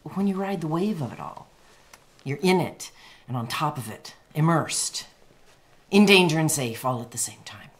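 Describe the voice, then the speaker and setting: Blunt lady writer, really deep voice, curt and sure of herself, radio play. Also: lively intonation, normal speed. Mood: intense, self-assured. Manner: curt, self-assured, emphatic. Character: blunt, imperious American woman, celebrated writer. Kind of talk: radio drama acting.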